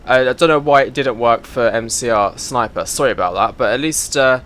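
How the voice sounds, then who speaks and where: A man's voice: mid-range voice, brisk, fairly bright tone. A man, chatting casually on a live stream, greeting viewers by name while sorting out his setup. He has a slight cough and sounds a little bunged up. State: slightly congested, a bit of a cough. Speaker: flight sim streamer.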